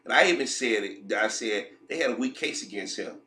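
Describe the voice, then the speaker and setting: A man's voice: fairly low pitch, animated, brisk, pushed and bright. Man with a head cold, echoey room. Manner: emphatic, repeats himself, fillers and restarts. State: getting over a head cold. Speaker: commentary vlogger. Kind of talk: opinionated commentary.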